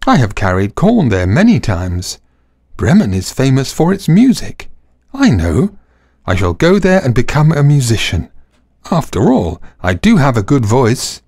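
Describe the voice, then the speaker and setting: A man's voice: medium pitch, huge pitch swings, unhurried, a little mellow, slightly rough, no room sound, studio quality, exaggerated voice. A man voicing an old, stubborn female donkey who is hopeful and friendly, in a storybook reading. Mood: cheerful.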